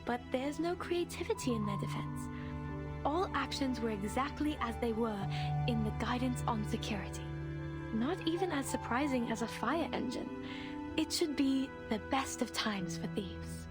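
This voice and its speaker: An actress voices a young woman in a story-driven video game, plucky and wry with an undertow of grief for her father. Her voice sits a little high, and music plays clearly underneath. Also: lively intonation, moderate pace, close to an everyday voice. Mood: reflective, confiding.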